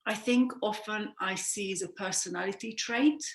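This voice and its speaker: A woman's voice: medium pitch, normal speed. Woman, sleep coach guest, earnest and reflective, echoey room. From Hungary. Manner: earnest, reflective, self-correcting. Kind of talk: explanatory interview talk. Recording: roomy echo.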